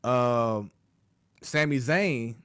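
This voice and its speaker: A man's voice: mid-range voice, drawn-out words, a bit bright, smooth, no room sound, clean studio sound. A man hosting a casual sports talk show, chatting loosely with plenty of fillers and restarts.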